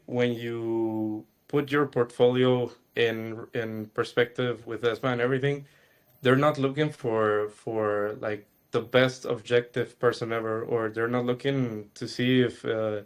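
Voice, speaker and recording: A young man answering questions in a webinar, thinking aloud with a hesitant, halting delivery. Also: medium pitch, steady tone, fairly bright tone, smooth. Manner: thoughtful, hesitant, many fillers and restarts. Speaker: student answering audience questions.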